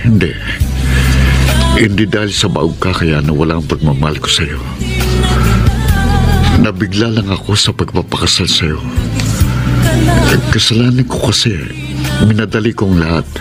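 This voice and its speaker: Casting: guilt-laden Filipino husband, low voice, solemn halting radio drama delivery, heavy music underneath. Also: male voice. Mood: regretful. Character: regretful, wavering husband confessing fading love.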